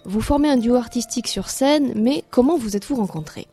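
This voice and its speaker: A woman's voice: on the high side, brisk, warm tone, faint music behind. A woman interviewer asking formal, prepared questions.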